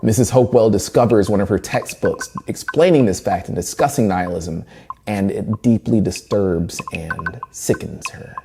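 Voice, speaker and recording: A man's voice: lowish voice, normal speed, over music. A man presenting a semi-scripted literary analysis in a conversational way, with wry asides. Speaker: presenter reviewing a short story.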